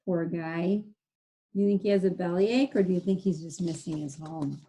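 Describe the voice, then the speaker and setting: A woman's voice: fairly low pitch, normal speed, dark tone, breathy edge. A woman reading a storybook to young children, adding sound effects and simple explanatory asides. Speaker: read-aloud presenter for young children.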